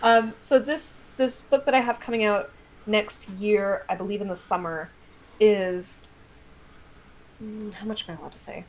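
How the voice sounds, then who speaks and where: An American woman chatting casually as a guest author, telling stories in an animated, self-deprecating way with some swearing. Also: mid-range voice, unhurried, rough recording. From United States. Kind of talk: casual livestream chat.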